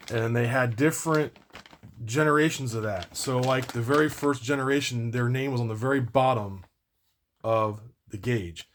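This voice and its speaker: A man with a medium-pitched voice talks through a casual product review. He rambles and doubles back on what he has said, in an unscripted way. The recording has noticeable background noise. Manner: rambling, repeats and circles back over points. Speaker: video host doing product unboxing and review.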